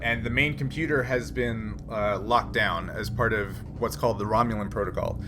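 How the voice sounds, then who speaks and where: Halting male game master in a noisy room. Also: lowish voice. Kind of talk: improvised narration of a tabletop roleplaying game.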